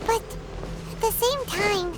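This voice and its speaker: A woman's voice: very high-pitched, slow pace. A woman voicing a tiny, childlike, chirpy fairy companion in a video game, with a cute manner. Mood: curious, chatty. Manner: cute, refers to herself by name.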